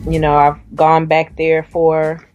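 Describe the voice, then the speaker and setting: A woman's voice: sits low, monotone, takes it slowly, darkish tone, dull audio, faint music behind. A young woman from the South, an author and poet, answering interview questions with a hesitant manner and many fillers. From Southern US (Alabama).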